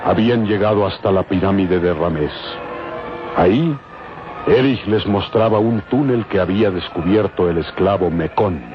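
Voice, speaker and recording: A solemn, suspenseful narrator of an old radio serial, voiced by a man. His low voice is muffled, with loud music behind. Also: normal speed, lightly acted.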